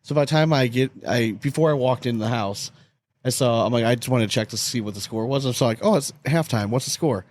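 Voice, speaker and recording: A man's voice: medium pitch, fast talker, darkish tone. A man chatting casually as a podcast co-host. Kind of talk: casual chat.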